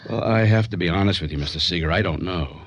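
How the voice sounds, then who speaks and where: An actor playing a sober, authoritative doctor in a radio thriller, grave and explanatory. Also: male voice, deep voice, fast, a bit bright.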